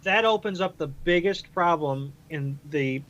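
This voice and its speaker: A man's voice: on the high side, unhurried, a bit bright, muffled recording. A man chatting casually on a podcast panel, recapping and explaining things step by step. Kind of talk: casual episode recap discussion.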